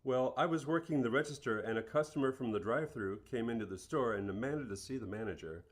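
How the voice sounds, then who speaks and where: Man acting as earnest fast-food store manager. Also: mid-range voice, close to an everyday voice. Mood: earnest, matter-of-fact. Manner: plain-spoken, matter-of-fact. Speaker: interviewee. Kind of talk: scripted interview in a radio play.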